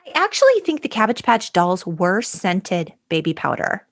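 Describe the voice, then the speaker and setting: A woman co-hosting a podcast in casual comedic chat, with animated and wry banter. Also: lowish voice, moderate pace. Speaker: podcast co-host.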